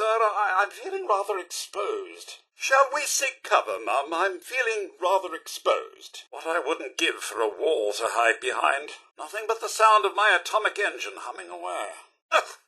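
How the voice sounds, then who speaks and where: A man's voice: sits high, sharp tone, slightly rough, full character voice. A man voicing a devoted, fussy British robot butler in a video game, speaking with formal, effusive, theatrical politeness. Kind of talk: video game character acting.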